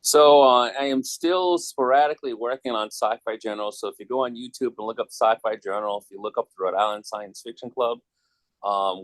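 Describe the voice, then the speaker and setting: A man's voice: fairly low pitch, dry recording, poor audio. A man talking casually as a guest on a panel. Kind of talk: casual chat.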